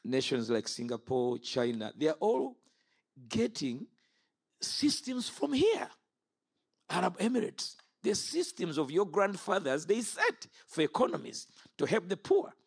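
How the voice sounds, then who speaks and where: A man in his fifties, originally from Uganda, preaching a fiery sermon. His voice is gravelly and a little husky. He swoops between low, steady statements and sudden high, forceful outbursts. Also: unhurried. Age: 50s. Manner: impassioned, rises and falls sharply.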